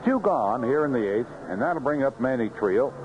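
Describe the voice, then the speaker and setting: A man's voice: medium pitch, animated, moderate pace, lo-fi sound, noisy background. A man calling live baseball play-by-play as a radio announcer on a vintage 1970s broadcast. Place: outdoor ballpark broadcast booth.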